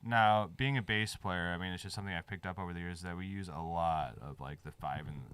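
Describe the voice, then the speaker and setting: A man's voice: low voice, brisk, clean tone, dry recording. A man making beats in a studio, chatting casually and explaining music theory step by step. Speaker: music producer. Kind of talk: casual studio chat while making a beat. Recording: loud music playing behind.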